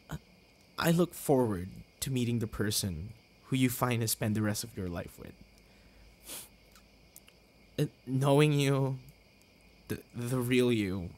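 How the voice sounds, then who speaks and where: A man playing a tender, heartbroken young boyfriend in an intimate ASMR roleplay, with halting, stammering delivery and sighs. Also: mid-range voice.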